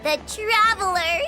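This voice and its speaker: Little girl inventor, very high, animated, cheeky and boastful, anime game, music underneath. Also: heavily acted. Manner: bratty, boastful, excitable. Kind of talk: anime video game voice acting. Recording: music behind the voice.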